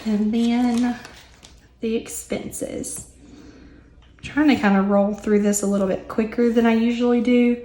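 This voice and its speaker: A young woman with a hoarse, recovering voice, chatting casually with many ums and asides as she works through her budget. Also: fairly high pitch, flat delivery, a little slow, warm tone, reverberant, slight background noise. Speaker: budgeting vlogger. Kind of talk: casual chat while working on paper.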